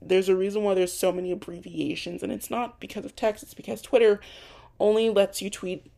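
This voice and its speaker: Young woman, podcast host, rambling monologue, voice going hoarse, gravelly, mellow. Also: medium pitch, fairly even intonation, brisk. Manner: rambling, with frequent restarts and ums. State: losing her voice. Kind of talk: unscripted solo podcast monologue. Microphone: home recording for an audio podcast.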